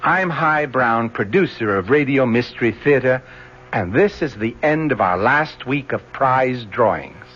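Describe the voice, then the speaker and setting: Husky male radio announcer with animated pitch. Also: a little slow. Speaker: radio producer making an announcement. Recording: muffled old radio broadcast.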